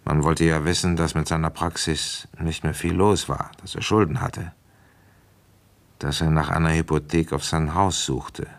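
A man's voice: very deep voice, steady tone, normal speed, creaky. An actor in a German radio drama playing an avuncular, ambitious police commissioner in his fifties who has a feverish cold and talks bluntly and coaxingly. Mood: musing. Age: middle-aged. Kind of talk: German radio drama acting and first-person narration.

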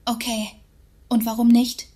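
A woman's voice: a little high, monotone, measured pace, heavy background noise, lightly acted. A woman playing a synthetic AI voice that is casually chummy, soothing and patronising in a radio drama. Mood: preachy. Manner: soothing, over-understanding, patronising. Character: chummy female synthetic AI voice, sweetly preachy. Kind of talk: radio drama acting, casual therapy-speak.

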